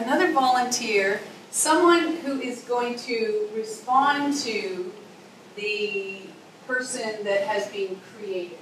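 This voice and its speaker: A woman facilitating a workshop, encouraging and calling on volunteers from the group. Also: a little high. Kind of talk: guiding a group exercise. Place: conference workshop room. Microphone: distant room mic. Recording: echoey room, distant mic, noisy.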